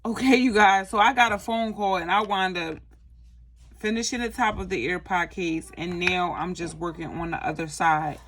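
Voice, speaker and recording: A woman's voice: lowish voice, steady tone, moderate pace, fairly bright tone. A young woman chatting casually through a craft how-to vlog, talking to her viewers with lots of fillers. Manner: chatty, many fillers, addresses viewers as "you guys". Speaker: craft vlogger.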